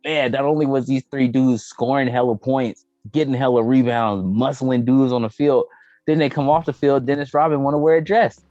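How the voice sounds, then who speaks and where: A man co-hosting a casual podcast, bantering in animated, exclamatory slang and acting out reactions. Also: mid-range voice, moderate pace, no echo, lo-fi sound, soft background music. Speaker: podcast co-host. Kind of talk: casual banter about movies and sports.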